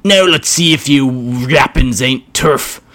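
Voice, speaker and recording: A hulking, short-tempered orc warlord, put on by a man reading a story aloud. He drawls his crude slang slowly and loudly, with a gruff, swaggering bark. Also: mid-range voice, pitched above the performer's own voice. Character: huge angry brutish male orc boss.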